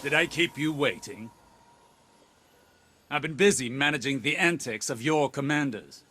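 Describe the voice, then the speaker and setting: A man's voice: medium pitch, lively intonation, a little slow, sharp tone. An actor playing a shrewd, stern male military strategist in a cinematic video game, composed, incisive and dryly superior. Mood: coolly sardonic. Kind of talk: dramatic cinematic game dialogue. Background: background music.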